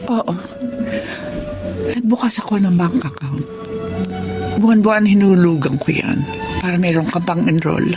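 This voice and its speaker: An actress playing a humble, loving older mother in an emotional radio drama, speaking in a halting, earnest and apologetic way. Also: fairly low pitch. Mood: tender, earnest. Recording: music under the voice.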